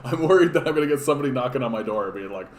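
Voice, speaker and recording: A man's voice: mid-range voice, expressive, very fast, a little mellow, reverberant, slight background noise. A man from Canada hosting a casual political livestream, rambling with lots of fillers and restarts, sarcastic as he reads chat comments. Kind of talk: casual live-stream chat reading comments. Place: home room.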